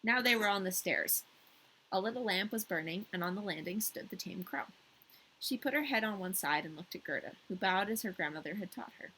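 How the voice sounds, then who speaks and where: A woman's voice: mid-range voice, lively intonation, a little fast, pushed and bright, slight background noise, poor audio. A woman hosting a story podcast, reading aloud with playful, irreverent casual asides.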